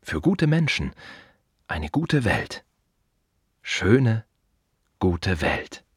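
Casting: a brooding, sardonic gravedigger, low voice with lively swings, clipped musing delivery, very dry close radio-play sound. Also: male voice, drawn-out words, slightly rough, no echo, full character voice. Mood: ironic, emphatic. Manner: wry, clipped fragments with pointed repetitions. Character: wry, brooding male gravedigger musing on the dead. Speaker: actor in a radio play monologue. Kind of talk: radio drama inner monologue.

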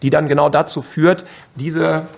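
A male economist explains his point in an interview clip, posing rhetorical questions as he goes. His voice sits a little higher than usual for a man. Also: little pitch movement, measured pace, creaky. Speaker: university president, economist. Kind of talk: interview soundbite.

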